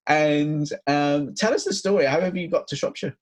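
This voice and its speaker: A man's voice: on the high side, normal speed. A man from Birmingham with a Brummie accent, co-hosting a podcast in a chatty, laid-back way with plenty of fillers. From Birmingham, England. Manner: chatty, laughs easily, hesitant with fillers. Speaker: podcast co-host. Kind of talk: casual podcast chat.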